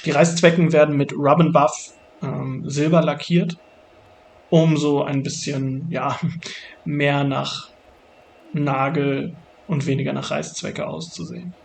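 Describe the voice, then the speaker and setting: A man's voice: on the high side, steady tone, takes it slowly, creaky, slight background noise. A man narrating a do-it-yourself build tutorial in a casual way. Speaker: maker narrating a build video.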